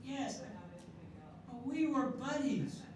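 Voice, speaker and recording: Older man doing a bickering old porch-sitter; high voice, unhurried, recorded far off in an echoey noisy hall. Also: fairly even intonation. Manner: slow, plainspoken, bickering. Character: elderly man rocking on porch, stubborn, opinionated. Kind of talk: amateur readers theatre. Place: senior center hall.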